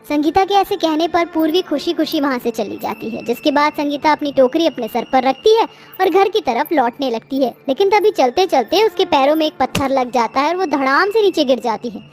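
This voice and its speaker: A woman tells a scripted children's story. Her voice sits extremely high, and light jingle music plays faintly behind her.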